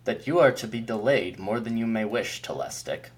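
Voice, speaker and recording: An ancient, commanding keeper of mysteries, as a man reading the story puts him on, his words grave and measured. The voice sits low with a husky edge. He sounds haughty, as if nothing could threaten him. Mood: formal. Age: older. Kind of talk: audiobook character voice.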